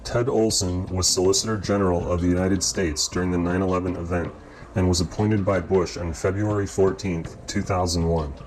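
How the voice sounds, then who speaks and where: Man, very low voice, scripted documentary narration, measured formal delivery, prominent guitar backing music. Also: steady tone, a little mellow, low-quality recording.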